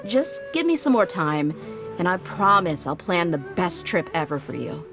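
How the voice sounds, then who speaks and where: Brief: low, relaxed voice, casual female mercenary, game music underneath. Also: moderate pace. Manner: casual, breezy, slangy. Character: tough, easygoing female desert mercenary. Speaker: mercenary brigade leader. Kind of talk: video game voice acting. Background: game background music.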